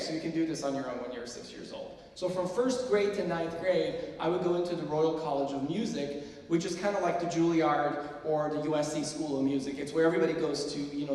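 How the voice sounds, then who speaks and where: A man's voice: a little high, darkish tone. A man in his forties from Sweden, presenting a workshop to music students in a chatty, self-deprecating way with plenty of fillers. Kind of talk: casual lecture to students. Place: lecture hall. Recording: roomy hall, mic far off.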